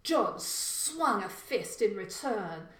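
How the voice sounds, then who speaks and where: A woman reading a short crime story as scripted narration, with deliberate, careful phrasing. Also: medium pitch, big pitch swings, fairly bright tone, distant mic. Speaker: author reading own story. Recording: echoey room.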